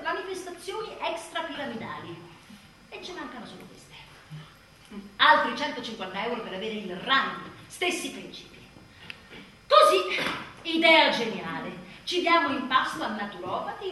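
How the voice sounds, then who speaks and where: Woman doing a sarcastic stage monologue, high voice swinging all over, really echoey and kinda far from the mic. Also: bright, forceful tone. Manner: dramatic, sarcastic, emphatic delivery. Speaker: stage actress performing a monologue. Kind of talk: theatrical comic monologue as an exasperated mother. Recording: echoey room, distant mic, faint noise.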